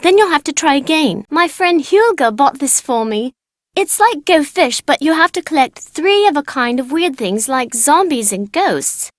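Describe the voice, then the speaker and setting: An eager young British girl in a video game. The voice is high and childlike, swinging widely up and down in a playful, bossy sing-song. It is recorded close and dry. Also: a bit bright, no echo, heavily acted. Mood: bossy, brisk. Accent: British English. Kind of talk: video game character voice acting.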